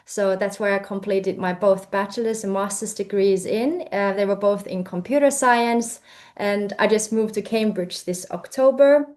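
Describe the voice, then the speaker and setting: Finnish woman giving a halting research talk. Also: mid-range voice, a little mellow. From Finland. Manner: hesitant, many fillers and restarts. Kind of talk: presentation talk.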